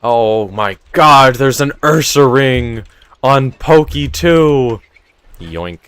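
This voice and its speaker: A man's voice: medium pitch, lively intonation, drawn-out words, fairly bright tone, clear, resonant tone, dry recording. A young man chatting casually on a live stream, answering chat and rambling, his voice a little strained. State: voice feeling strained. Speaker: streamer running a game server.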